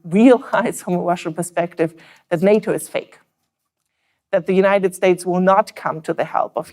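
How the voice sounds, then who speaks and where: A woman with a German accent giving analytical remarks on a panel, earnest and measured, with some fillers and restarts. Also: fairly low pitch, warm tone.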